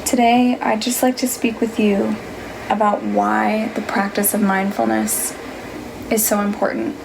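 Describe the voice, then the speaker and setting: A woman leading a guided meditation, giving gentle, unhurried instructions in a soothing manner. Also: mid-range voice, little pitch movement, gravelly, noisy background. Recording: music behind the voice. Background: music.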